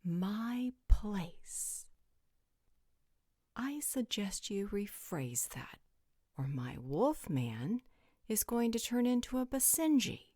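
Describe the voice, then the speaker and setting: An actress playing a bossy, sardonic female vampire in an audio roleplay, with a husky, breathy voice and a commanding, deliberate delivery. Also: mid-range voice, very animated, a little mellow, no room sound, studio quality. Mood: haughty, threatening.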